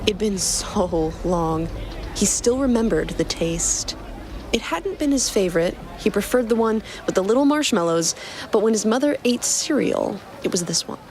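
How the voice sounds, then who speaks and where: A woman's voice: medium pitch, animated, normal speed, a little mellow, a little hiss or hum. A woman reading scripted fiction as the narrator of a podcast.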